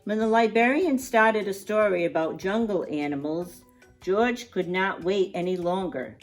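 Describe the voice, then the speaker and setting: A woman reading a picture book aloud to young children in an expressive storytime style, drawing out words for emphasis and adding chatty asides. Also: medium pitch, measured pace, faint music behind.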